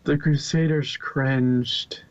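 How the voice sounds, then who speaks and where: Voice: medium pitch, steady tone, drawn-out words, dry recording. A narrator reading a fan story aloud in a plain way, often stumbling and restarting words. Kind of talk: amateur fanfic reading. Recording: dull lo-fi home recording.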